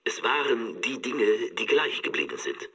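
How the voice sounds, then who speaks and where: Casting: a reflective monologue narrator, husky yet bright-edged, halting and intimate, expressive pitch. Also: male voice, full character voice, low-quality recording. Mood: pensive. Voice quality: husky, breathy, creaky. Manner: halting, repeating, trailing into near-whisper. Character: reflective male narrator recalling unchanged things with unease.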